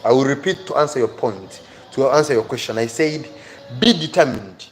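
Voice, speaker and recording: A man's voice: medium pitch, lively intonation, normal speed, faint music behind. A man with an African accent giving a motivational live lesson to viewers as their teacher, earnest and emphatic, repeating key phrases.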